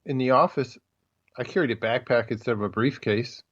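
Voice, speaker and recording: A man's voice: medium pitch, brisk, fairly bright tone, breathy edge, no echo. Dry, slightly dull-sounding male co-host. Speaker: podcast co-host. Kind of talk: casual chat.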